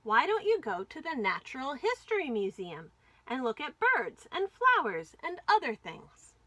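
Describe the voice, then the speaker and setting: A bossy, caring storybook wife, put on by a woman reader. She speaks high and bright, insistent and lively, as if laying down firm advice. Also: normal speed, no echo, muffled recording, heavily acted. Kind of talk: children's storybook character reading.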